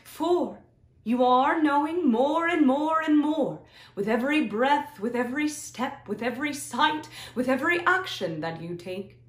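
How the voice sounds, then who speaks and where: A woman voicing a benevolent, serene extraterrestrial guide in a guided meditation, with deliberate, hypnotic, repetitive phrasing and long pauses. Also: fairly high pitch. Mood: mystical, measured. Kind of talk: slow channeled guided meditation. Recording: roomy echo, soft music behind.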